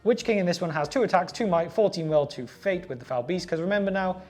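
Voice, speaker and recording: A man's voice: high voice, fast, a little mellow, faint music behind. A man co-hosting a tabletop wargaming show, chatting casually and sometimes reading out rules. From Wales. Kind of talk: casual chat with some reading out of game rules.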